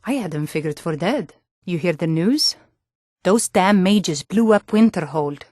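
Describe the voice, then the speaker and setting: A woman's voice: lowish voice, lively intonation, measured pace, darkish tone, no room sound, studio quality. A woman playing an earnest, hardy Nord townswoman and guard, delivering short, weighty lines for a fantasy video game.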